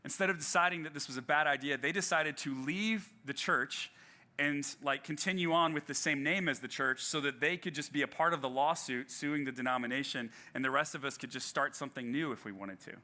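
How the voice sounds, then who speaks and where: A man's voice: fairly high pitch, brisk, pushed and bright. A male pastor giving a sermon in a conversational way, with frequent fillers and restarts and some self-deprecating humor.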